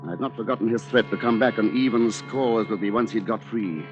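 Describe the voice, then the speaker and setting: Man acting scheming attorney, low pitch, pompous formal diction, old radio drama, dull lo-fi sound. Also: a little fast, natural voice. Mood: calculating. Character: cold, conniving male attorney, cleverly manipulative. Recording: muffled old radio recording, music behind.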